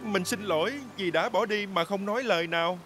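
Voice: mid-range voice, brisk, close to an everyday voice. An actor voicing a timid, anxious little truck in a children's cartoon, nervous and pleading. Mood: sheepish. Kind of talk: animated children's cartoon dubbing. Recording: music behind the voice.

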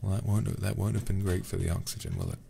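A man murmuring ASMR game commentary to himself close to the microphone, in a breathy, gravelly voice that often drops into a whisper. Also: low voice, steady tone, fast talker, warm tone, a little hiss or hum. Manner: murmuring to himself, mild swearing. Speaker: livestreamer.

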